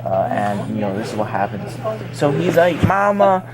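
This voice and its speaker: A man's voice: lowish voice, animated, moderate pace, noisy background. A young man retelling a picture book as a joke, in slangy, rambling, casual talk.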